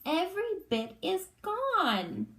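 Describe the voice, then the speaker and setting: Woman as squeaky wee baby bear, echoey. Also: huge pitch swings, takes it slowly, fairly bright tone, heavily acted. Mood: plaintive. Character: wee little plaintive baby bear, male cub.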